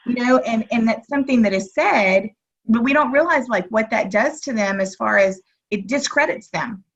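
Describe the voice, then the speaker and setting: A young woman hosting an interview, empathetic and gently prompting her guest with soft affirmations. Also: a little high, normal speed. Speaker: podcast host, former educator. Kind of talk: casual interview talk. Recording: dull lo-fi remote audio.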